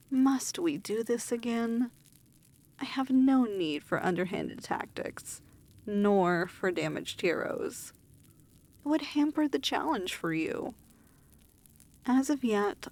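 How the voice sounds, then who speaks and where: A woman playing a haughty, weary demon lord in an ASMR fantasy roleplay, speaking in a formal, measured, imperious manner. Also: medium pitch. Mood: cold, weary.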